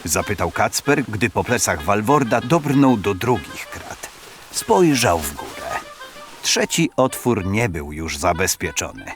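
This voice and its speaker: A male narrator tells a fantasy story in a low, animated voice. The delivery is scripted, measured and dramatic, with clear music playing behind. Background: background music.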